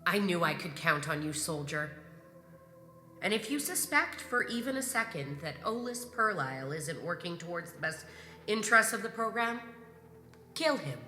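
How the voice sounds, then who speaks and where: A woman playing a ruthless, obsessive grand marshal in a science fiction audio drama, speaking formally and deliberately with command. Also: fairly low pitch, animated, sharp tone. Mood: cold, commanding. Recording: echoey hall reverb, music underneath.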